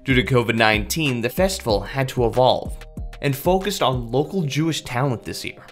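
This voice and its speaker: A man's voice: medium pitch, lively intonation, normal speed, soft background music. Chatty man hosting an interview. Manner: friendly, chatty, uses "like" often. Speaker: interviewer host. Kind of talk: casual interview chat.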